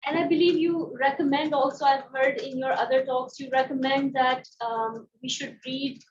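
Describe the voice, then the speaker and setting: High-pitched woman, echoey and distant. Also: fairly even intonation. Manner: halting, searching for words. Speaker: interviewer. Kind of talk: conversational literary interview. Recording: dull, echoey, distant, noisy pickup.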